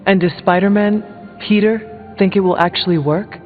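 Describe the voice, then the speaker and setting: A man voicing a stern, formal warrior hero in a video game, speaking in a measured, serious and blunt way. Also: high-pitched, fairly even intonation, big-room sound, phone-quality sound, faint music behind, lightly acted. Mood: questioning. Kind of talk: video game dialogue acting.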